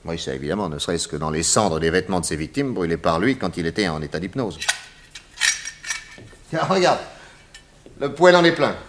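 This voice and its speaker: An actor playing a confident detective boss in a 1960s French radio serial, explaining in an emphatic, didactic, theatrical way with dramatic pauses. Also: male voice, low voice, expressive, a little hiss or hum. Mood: insistent, didactic. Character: confident male detective boss explaining crimes to his assistant.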